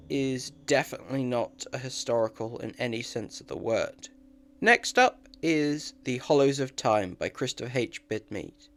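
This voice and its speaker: Man reviewing audio dramas over music. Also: mid-range voice.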